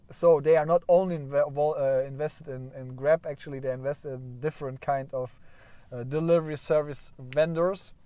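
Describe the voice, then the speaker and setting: A man's voice: on the high side, warm tone, clear, resonant tone, dry recording. A man with a German accent hosting a casual livestream, rambling and correcting himself as he chats with viewers. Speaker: livestream host.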